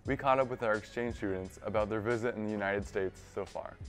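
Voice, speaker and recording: A teenage boy reads a school news piece in a fairly low voice. His delivery is plain and scripted, with faint music underneath. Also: fast talker. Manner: plain, read-aloud delivery. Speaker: student reporter.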